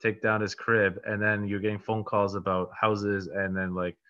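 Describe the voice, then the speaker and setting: A man's voice: fairly low pitch, completely flat delivery, normal speed, sharp tone. A Polish-born man in his thirties, a real estate agent and podcast host, answering interview questions in a rambling, casual way with many fillers and restarts. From Poland. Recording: dull lo-fi audio.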